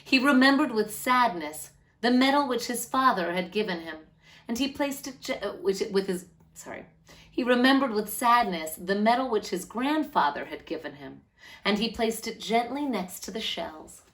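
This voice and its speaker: A woman reads a storybook aloud for young children. Her pitch moves up and down a great deal, giving the narration an animated, lilting, expressive feel. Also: moderate pace. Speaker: children's read-aloud host.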